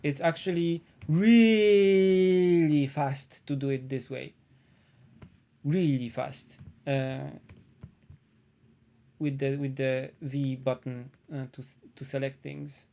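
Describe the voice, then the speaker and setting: Slow, musing man with a Polish accent. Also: medium pitch, little pitch movement, gravelly, mellow. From Poland. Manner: unhurried, thinking aloud, many uh pauses. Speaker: painter streaming a live demo. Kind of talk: casual live-stream commentary while painting.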